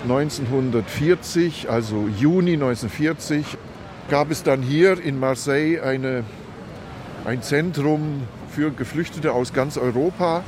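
An older man, a city guide, giving a spontaneous explanation in a halting way, searching for his words. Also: on the high side. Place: outdoors in a city. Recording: noisy outdoor city street recording. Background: urban noise, vehicles.

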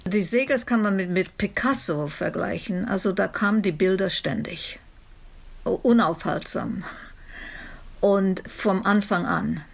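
Slow, creaky-voiced woman being interviewed. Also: medium pitch. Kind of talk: spontaneous interview answer.

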